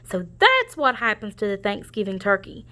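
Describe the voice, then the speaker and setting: A woman's voice: medium pitch, huge pitch swings, moderate pace, pushed and bright. A woman voicing a spirited, sassy female turkey in a children's storybook. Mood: indignant. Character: spirited, indignant female turkey, protective of her friend.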